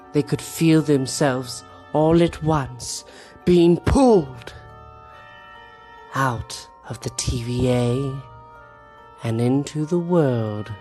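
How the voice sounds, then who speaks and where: A man's voice: medium pitch, pitched below the performer's own voice. A man voicing a sinister, theatrical old-time horror host narrating a suspenseful tale in an ominous manner. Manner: slow, ominous, hushed suspense.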